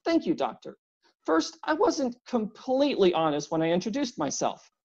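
Storybook figure: narrator's take on a huge man with a timid, apologetic manner, pitched up, muffled recording. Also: big pitch swings, normal speed, a little mellow. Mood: polite pleading. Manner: apologetic, courteous, pleading. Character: big polite anxious man, professor.

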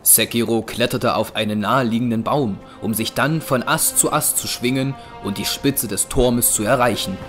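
A man narrating a scripted audio drama as a measured storyteller. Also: medium pitch, a bit bright. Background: music.

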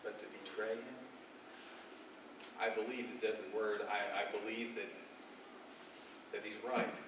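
A man playing an earnest, humbled fisherman apostle in a stage monologue, with halting, reflective and emphatic delivery. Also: on the low side, lightly acted. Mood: anguished, protective. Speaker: stage actor. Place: dark theatre stage, recorded from the audience. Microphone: far camera. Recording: distant, echoey, noisy stage recording.

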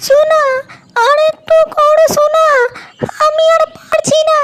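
A woman's voice: very high-pitched, completely flat delivery, a little slow, a bit bright. A woman voicing a seductive, coaxing village wife in a crude comedy cartoon, with a pleading, cooing delivery. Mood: pleading, amorous. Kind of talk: exaggerated cartoon comedy dub.

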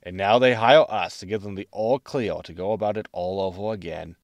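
An actor playing a pragmatic, wry, world-weary spaceship captain in a science fiction audio sitcom. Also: male voice, fairly low pitch, a little fast, no room sound, clean studio sound, natural voice. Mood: wry concern.

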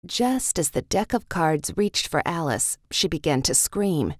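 Woman narrating a kids storybook, low voice, slow pace, reads it like a read-along. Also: sing-song, dry recording, clean studio sound.

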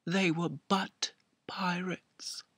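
A man in his twenties telling a comic story to camera as a vlogger, halting and mock-emotional, swelling and hushing, close to a webcam mic in a small room. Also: sits high, flat delivery, breathy edge, dry recording. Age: 20s. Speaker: video blogger. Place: small furnished room indoors. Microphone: built-in webcam mic up close.

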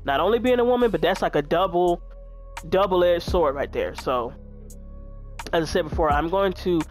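Deep-voiced woman in her twenties, frank. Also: animated, normal speed, faint music behind. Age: 20s. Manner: frank, chatty, talks straight to viewers. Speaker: gaming YouTuber. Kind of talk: candid chat while playing a video game.

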